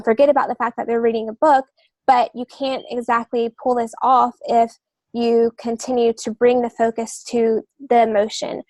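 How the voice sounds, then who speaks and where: A woman's voice: fairly high pitch, darkish tone, no echo. A woman co-hosting a writing podcast, explaining craft points in an earnest, wordy, conversational way. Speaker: writing podcast co-host and novelist. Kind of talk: casual instructional discussion.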